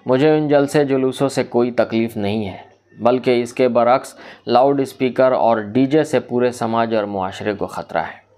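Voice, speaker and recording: A man delivering a scripted social commentary in a formal, measured, oratorical manner. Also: mid-range voice, a little mellow. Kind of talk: scripted reformist monologue in literary Urdu.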